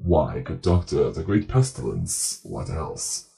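Man voicing a very deep, genteel plague doctor. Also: wildly swooping pitch, gravelly, warm tone, heavily acted. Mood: calm zeal. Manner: formal, genteel, measured. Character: courteous, eerie old-world plague doctor entity, calmly zealous.

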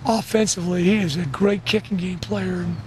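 A man's voice: high-pitched, steady tone, brisk, a little mellow. A middle-aged man, a football coach, answering in an interview outdoors on a practice field. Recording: clear outdoor field background noise.

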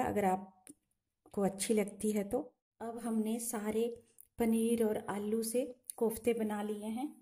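A woman calmly talking through a home cooking recipe step by step, in a patient, instructive way. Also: mid-range voice. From Himachal Pradesh, India. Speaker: home cooking channel host. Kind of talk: step-by-step cooking demonstration voice-over. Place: home kitchen.

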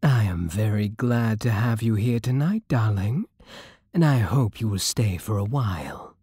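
A British man playing a courtly, possessive vampire king in an intimate ASMR roleplay, silky, formal and commanding with quiet menace. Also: fairly low pitch, expressive, a little slow, no room sound, clean studio sound. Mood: courtly, smooth. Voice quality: breathy, husky.

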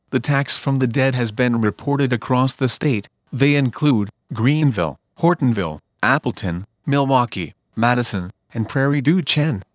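A man's voice: medium pitch, no echo. A man delivers an emergency alert in a stiff, formal way. The sound is dull and lo-fi, with no room around the voice. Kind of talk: scripted emergency broadcast announcement.

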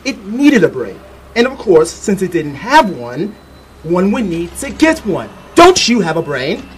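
A man acts out an eccentric inventor in an audio drama, his delivery swinging widely in pitch. He is showy and teasing, posing questions with theatrical relish, over faint background noise. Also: very animated, unhurried.

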